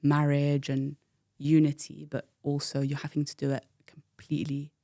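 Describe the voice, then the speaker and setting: A woman's voice: low voice, monotone, moderate pace, dark tone, no echo, studio quality. A woman in her thirties from the UK, a guest telling her life story frankly and reflectively, with frequent fillers. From United Kingdom. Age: 30s. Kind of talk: candid personal interview.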